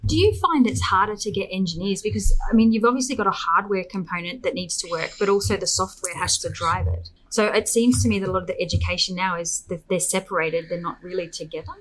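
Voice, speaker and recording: A woman's voice: medium pitch, fast talker, few pauses, fairly bright tone, rough recording. A woman hosting a podcast, asking questions in a conversational interview style.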